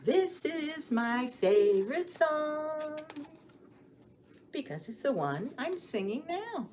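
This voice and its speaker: A woman reading stories and rhymes aloud for young children as a storytime host, with a sing-song, expressive delivery, often putting questions to the children. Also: high voice. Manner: addresses children directly with questions and pauses for answers. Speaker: children's librarian hosting storytime. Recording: clearly audible background noise.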